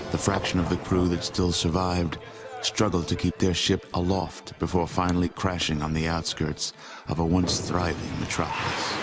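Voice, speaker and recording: An actor playing a solemn chronicler of a post-apocalyptic world, narrating game cutscenes in a grave, measured storytelling manner. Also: male voice, sits low. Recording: loud music behind the voice. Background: loud music.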